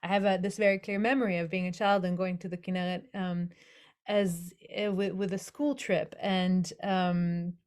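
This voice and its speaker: A woman's voice: on the low side, level tone, normal speed, a little mellow. A young adult woman author being interviewed, talking casually and thoughtfully with many fillers and restarts. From Netherlands. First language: English as first language, also Hebrew and Dutch.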